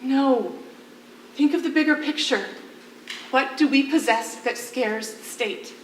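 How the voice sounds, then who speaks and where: A woman acting a determined, idealistic imprisoned artist in a stage play, speaking with emphatic, deliberate persuasion. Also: high-pitched. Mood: persuasive, idealistic. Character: determined, idealistic female artist prisoner rousing her companion. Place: theatre stage. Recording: echoey, faintly noisy, distant stage recording.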